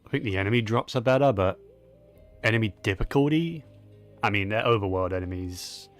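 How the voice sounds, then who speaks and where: A man casually commenting on his own gameplay, low-key and muttering to himself as he plays. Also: lively intonation, brisk, soft background music. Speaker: gaming channel host.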